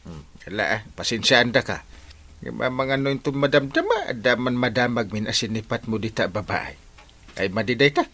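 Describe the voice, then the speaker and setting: A man's voice: medium pitch, very animated, moderate pace, bright, forceful tone, exaggerated voice. A man with an Ilocano accent acting as a stern but forgiving village captain in a radio comedy drama. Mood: admonishing.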